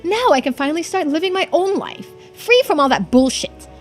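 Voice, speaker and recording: A woman's voice: extremely high pitch, lively intonation, brisk, soft background music. A woman voicing a bitter, menacing young villainess, gloating and unhinged, in a visual novel read-through. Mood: bitter, threatening.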